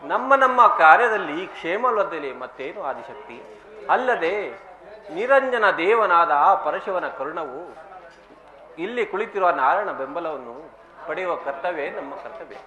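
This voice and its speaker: A man's voice: fairly high pitch, lively intonation, measured pace, bright, forceful tone. A man playing a reverent, earnest creator god in formal, emphatic mythological stage declamation. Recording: roomy echo.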